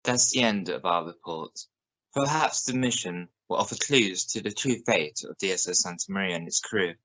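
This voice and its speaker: A man playing a serious, probing evening news reporter in a science fiction radio play, speaking in a formal, measured way. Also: deep voice, pushed and bright, rough recording. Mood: sombre, respectful. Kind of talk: scripted radio news broadcast in a drama.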